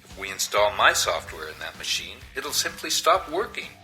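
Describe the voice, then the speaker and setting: An actor playing an earnest human software salesman in a video game, explaining things emphatically and persuasively. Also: deep voice, very animated, normal speed, faint music behind, full character voice. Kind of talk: video game cutscene acting.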